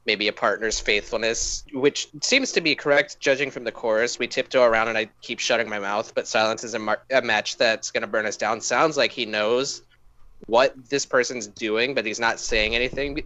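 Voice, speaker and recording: A man's voice: fairly low pitch, bright, forceful tone. A man co-hosting a podcast, chatting casually in an informal, playful manner. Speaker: podcast co-host. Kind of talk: casual chat.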